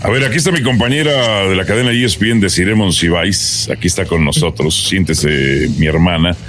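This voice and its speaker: A man's voice: deep voice, fairly quick, fairly bright tone, faint music behind. A man hosting a football panel discussion in an informal, colloquial way. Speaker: sports talk show host.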